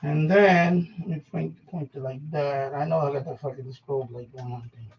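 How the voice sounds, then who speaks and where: High-pitched gravelly man, rambling casually. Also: steady tone, normal speed, a little hiss or hum. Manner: informal, slangy, swearing, talking to himself while handling objects. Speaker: live stream host. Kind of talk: casual rambling live stream chat. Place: room at home being set up as a radio shack. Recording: dull lo-fi sound, distant from mic.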